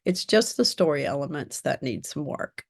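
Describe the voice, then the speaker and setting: A woman giving a prepared critique as a reviewer, in a measured, direct and instructive way. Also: sits low, lively intonation. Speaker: script reviewer.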